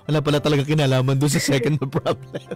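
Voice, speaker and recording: A man's voice: fairly high pitch, level tone, quick pace, music in the background. A man with a Filipino accent hosting a radio advice show, chatting casually and teasingly with a caller. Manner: teasing, amused, laughs readily. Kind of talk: casual chat, advising a caller. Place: radio studio.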